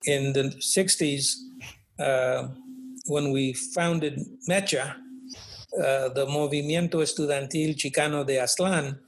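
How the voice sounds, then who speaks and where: A man's voice: fairly high pitch, fairly even intonation, slow, poor audio. An older man being interviewed as a guest, speaking reflectively with many fillers and tag questions. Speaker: guest, author. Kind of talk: conversational interview. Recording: faint background noise.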